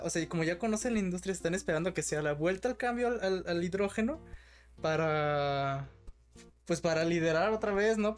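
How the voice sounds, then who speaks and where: A man with a Mexican accent chatting as a podcast guest, rambling and tagging his points with quick questions. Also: sits high. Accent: Mexican Spanish. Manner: rambling, keeps adding tag questions. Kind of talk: casual podcast chat.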